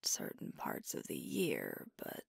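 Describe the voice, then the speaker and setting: A woman voicing a sweet, tender werewolf girlfriend in an ASMR roleplay, speaking in a soft, breathy whisper with gentle, intimate storytelling. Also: slow pace, dry recording, clean studio sound, natural voice. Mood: gentle nostalgic.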